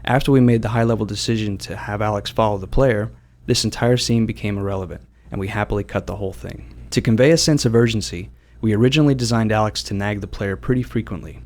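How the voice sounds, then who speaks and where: Lower-voiced man narrating developer commentary. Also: fast, darkish tone. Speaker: game developer.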